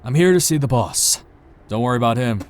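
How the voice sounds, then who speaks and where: A man's voice: mid-range voice, lively intonation, brisk, natural voice. A man voicing a confident, terse, streetwise guide in a science-fiction visual novel. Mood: terse, assured.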